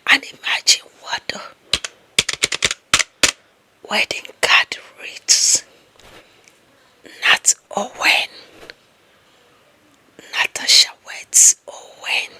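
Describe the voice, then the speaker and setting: A breathy, very slow whisper with a sharp, bright edge carries this lovestruck young office gossip as a woman acts her out. She has an African accent. She is bossy, dramatic and deluded, in the manner of an ASMR roleplay. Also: exaggerated voice. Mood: dreamy, smitten.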